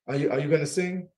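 Chatty male radio host, higher voice. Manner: warm, laughs easily, repeats words. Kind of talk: casual talk radio hosting.

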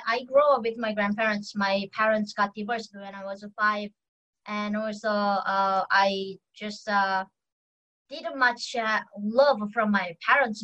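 A young woman with a Korean accent, speaking English as a second language, talking as a guest in an online conversation and often pausing to search for words. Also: medium pitch, bright, forceful tone, lo-fi sound. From North Korea. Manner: halting, searching for English words, many pauses. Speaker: guest, defector.

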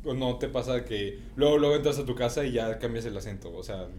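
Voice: mid-range voice, fairly quick, a little mellow. A person with a Mexican accent casually asking questions as a podcast host. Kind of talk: casual interviewing. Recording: echoey room, faint noise.

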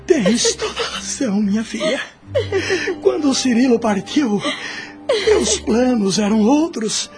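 A grieving old father in a radio soap. He speaks slowly and tearfully, worn down by sorrow, with music clearly playing behind him. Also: very high-pitched, sing-song, exaggerated voice. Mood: grieving, weary. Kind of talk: radio soap opera acting.

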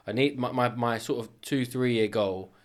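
A man, a business owner chatting as a podcast guest and telling quick, animated stories with asides. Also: mid-range voice. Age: 30s. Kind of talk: casual podcast chat.

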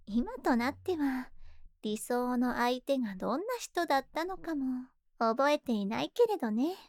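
A woman voicing a lovesick, obsessively devoted female spider monster in an ASMR voice drama, speaking in soft, intimate, doting tones. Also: sits high, expressive, dry recording, studio quality. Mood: wistful devotion. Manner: slow, intimate, doting feminine speech. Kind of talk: Japanese ASMR situation voice drama.

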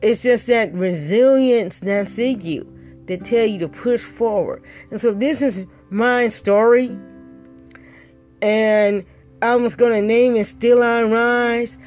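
Brief: middle-aged female life coach, raspy and breathy, unhurried, soft music underneath. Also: a little high. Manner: emphatic, repeats key words. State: dry throat after a stroke. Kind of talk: motivational pep talk.

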